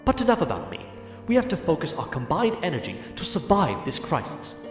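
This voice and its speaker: A man's voice: a little high, huge pitch swings, fairly quick, over music. Man playing a theatrical, wildly swooping ghostly narrator. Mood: wry, grave. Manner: theatrical, wry, mocking. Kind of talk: audio drama narration and character acting.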